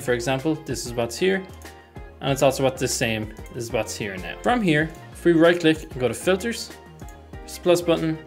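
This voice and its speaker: A young man giving a casual software tutorial, speaking into a desk microphone indoors. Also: mid-range voice. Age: young adult. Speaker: tutorial host. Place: small room at home, indoors. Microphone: desk microphone close in front.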